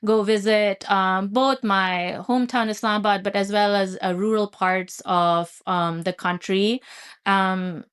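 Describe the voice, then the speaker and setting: A woman in her forties from Pakistan, a guest author in a studio interview, speaking thoughtfully with many fillers and pauses. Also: medium pitch, steady tone, fairly bright tone, smooth. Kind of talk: casual interview chat. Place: recording studio.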